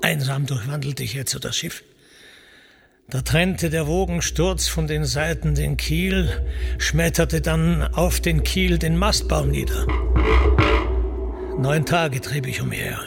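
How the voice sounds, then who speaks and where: A man's voice: a little high, slow, creaky. A man reciting a classical epic in verse for a radio play, solemn and declamatory, as its narrator. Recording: radio production with loud music underneath. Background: loud music.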